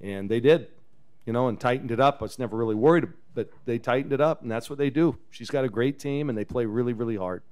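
A man in his fifties fields questions after a game, loose and conversational. His voice comes through smooth and resonant on a table microphone in a press room. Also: medium pitch, fast talker. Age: 50s. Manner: relaxed, chatty, chuckles often. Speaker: head basketball coach. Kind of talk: post-game press conference. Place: press conference room with backdrop. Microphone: table microphone on a stand.

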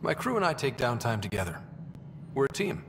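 An actor voicing a steady young male explorer leader in a video game who gives brief, level replies. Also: mid-range voice, a little fast, long reverb tail, noisy background. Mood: calm. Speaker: Pathfinder, player character.

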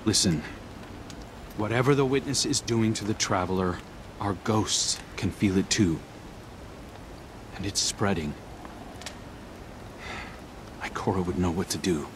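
An actor playing a guarded, earnest young warrior in a video game, speaking in a grave, hushed, troubled manner. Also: male voice, low voice, unhurried, long pauses, background noise. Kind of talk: dramatic video game dialogue.

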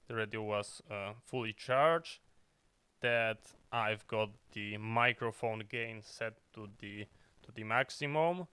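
A man's voice: medium pitch, sharp tone, smooth, dry recording. A man who is not a native English speaker, explaining in an unscripted, choppy way as a video host. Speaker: video host, radio hobbyist.

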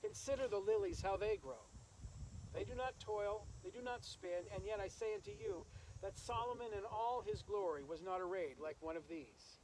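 High-pitched man preaching at an outdoor graveside. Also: moderate pace, darkish tone, low-quality recording. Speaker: minister officiating a funeral. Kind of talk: graveside sermon with scripture reading and prayer. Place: outdoors in an open rural cemetery. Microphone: camera several metres away. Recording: outdoor recording with insect and nature noise.

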